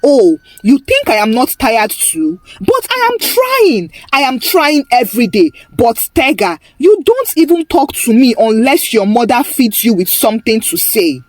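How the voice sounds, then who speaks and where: A woman's voice: fairly high pitch, sing-song, a little slow, few pauses, darkish tone, no room sound. Woman acting a hurt, frustrated Nigerian husband.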